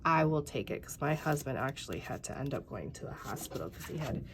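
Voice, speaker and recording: A woman's voice: very deep voice, sing-song, brisk, noisy background. A tired, congested woman in her thirties chatting casually through a craft tutorial, rambling with many fillers and talking to viewers as "you guys.". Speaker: craft channel host.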